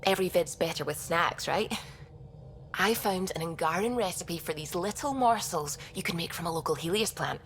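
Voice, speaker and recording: An earnest, sociable young woman of a starship science crew. Her voice sits a little low, and she talks in a curious, chatty way that hesitates and restarts. Music plays behind her. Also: lively intonation, moderate pace, fairly bright tone. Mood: friendly, hopeful. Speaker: video game crew member. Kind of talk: video game dialogue.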